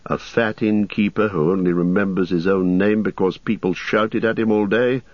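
A man voicing a grim, watchful ranger who speaks low, slow and measured. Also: fairly even intonation, darkish tone, no echo, muffled recording, lightly acted. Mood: grave. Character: grim, watchful male ranger, steady and guarded.

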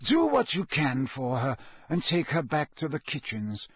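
A man reading aloud and voicing a wise, elderly headmaster with a husky, measured, formal delivery. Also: on the high side, huge pitch swings, dry recording, exaggerated voice. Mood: commanding. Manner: measured, commanding, gently formal. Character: old wise male headmaster, calm authority.

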